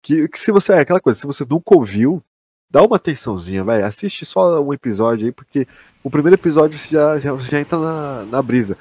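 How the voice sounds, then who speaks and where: A man's voice: on the high side, lively intonation, fast, dark tone, husky, no echo. A young man with a Brazilian accent co-hosting a podcast in a casual, slangy and sweary way. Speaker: podcast co-host. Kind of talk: casual chat about a sci-fi audio drama.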